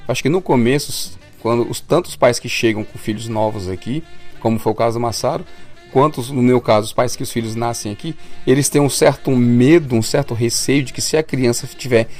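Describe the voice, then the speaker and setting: A man's voice: medium pitch, a little fast, a little mellow, faint music behind. Brazilian man chatting on a podcast. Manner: thoughtful, explaining at length with restarts. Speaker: podcast co-host. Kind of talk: casual podcast chat.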